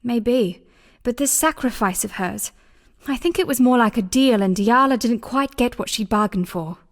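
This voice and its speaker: A woman playing a wary, sharp-tongued exiled former captive in scripted video game dialogue, dry and teasing. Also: a little high, moderate pace, close to an everyday voice. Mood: doubtful.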